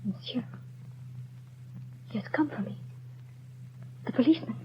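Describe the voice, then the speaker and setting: Sick, desperate young mother, high pleading voice, 1930s radio melodrama, muffled and hissy. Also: animated, a little slow. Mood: frightened. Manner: pleading, breathy whispers rising to frantic cries. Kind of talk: 1930s radio drama acting.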